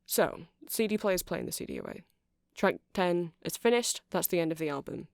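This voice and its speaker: A woman's voice: lowish voice, wildly swooping pitch, moderate pace, creaky, no room sound, clean studio sound. A woman giving a rambling, chatty ASMR demonstration, explaining things step by step. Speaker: ASMR creator.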